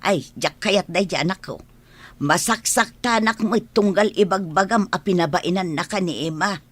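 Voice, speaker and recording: A woman's voice: lowish voice, moderate pace, dry recording, close to an everyday voice. Woman acting nagging older Ilocano mother. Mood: nagging, exasperated. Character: nagging, exasperated elderly mother, protective of son. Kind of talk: Ilocano radio drama acting.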